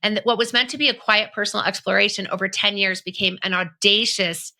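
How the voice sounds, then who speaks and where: A woman hosting a podcast interview, effusive and quick to laugh, often trailing off mid-thought. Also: mid-range voice, steady tone, sharp tone. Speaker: podcast host.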